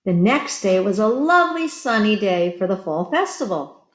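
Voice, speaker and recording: A woman reading a picture book aloud to children like a teacher, expressive and pausing to put questions to young listeners. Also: mid-range voice, roomy sound. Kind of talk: children's read-aloud storytelling.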